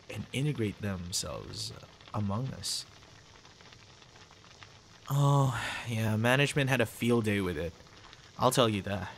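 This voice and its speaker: A man playing a cute, teasing young boyfriend with a hidden sinister side in an ASMR audio roleplay, soft-spoken and casual. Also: lowish voice, slight background noise, low-quality recording. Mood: concerned, casual.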